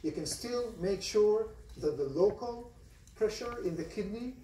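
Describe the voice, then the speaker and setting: A man's voice: high voice, animated, a little slow, dark tone, a little hiss or hum. A man with an Israeli accent giving a university lecture to a large class, talking conversationally and often putting questions to the students. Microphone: phone recording from the audience seats. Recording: distant, roomy lecture hall recording.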